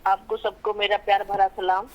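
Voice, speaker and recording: A woman's voice: mid-range voice, little pitch movement, normal speed, muffled recording. A woman giving earnest, emphatic opinions as a panelist in a TV debate. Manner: earnest, emphatic, repetitive pleading. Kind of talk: extemporaneous TV debate commentary.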